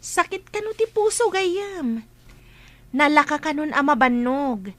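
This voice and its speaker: A woman's voice: high voice, animated, measured pace. A woman playing a considerate, chatty young friend in a radio drama. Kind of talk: Ilocano radio drama acting.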